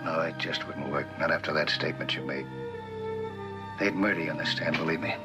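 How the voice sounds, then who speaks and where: Tired guilty middle-aged man, deep voice, subdued, old tv drama with music under. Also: brisk. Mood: troubled, resigned. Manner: subdued, brooding, terse. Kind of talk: 1960s television drama acting.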